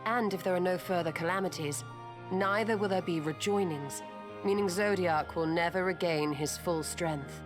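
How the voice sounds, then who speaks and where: A composed, learned fantasy woman played by an actress with a lowish voice. She speaks formally and in measured, earnest phrases, and game music is clearly audible behind her. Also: lightly acted. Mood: solemn. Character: grave, composed, scholarly woman of a fantasy order. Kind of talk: scripted fantasy game dialogue.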